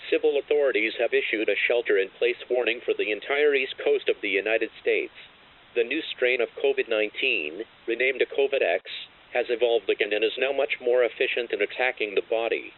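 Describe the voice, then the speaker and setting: A man's voice: mid-range voice, fairly quick. A man reading a scripted emergency broadcast announcement in a formal, impersonal, synthetic-sounding way. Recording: tinny, phone-like narrow-band alert broadcast.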